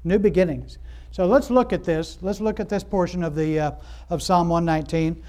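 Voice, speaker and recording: A man preaching a sermon in a loose, conversational way, with many restarts and fillers and bursts of excitement. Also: sits high, normal speed. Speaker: pastor.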